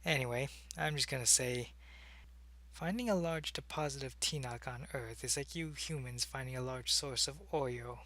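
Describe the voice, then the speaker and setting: A man's voice: mid-range voice, moderate pace, sharp tone. A man playing an earnest, secretive alien agent in an ASMR roleplay, talking to a human in a hesitant, explanatory way. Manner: hesitant, explanatory, intimate one-sided conversation. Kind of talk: ASMR roleplay, acted monologue to the listener.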